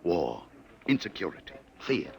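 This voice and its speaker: Oily, swooping, genial menace. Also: male voice, low-pitched, sing-song, measured pace, gravelly, full character voice. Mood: slyly menacing. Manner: oily, insinuating, mock-polite, theatrical. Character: smooth menacing man hunting runaway time travellers, falsely genial. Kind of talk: 1950s radio drama acting. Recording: restored 1950s radio recording with hiss.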